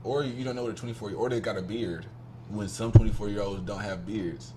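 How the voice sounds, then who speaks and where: A man's voice: on the low side, fairly quick, heavy background noise. A man in his twenties hosting a casual podcast, talking emphatically and speaking straight to the listeners. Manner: emphatic, swears, addresses listeners. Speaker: podcast host.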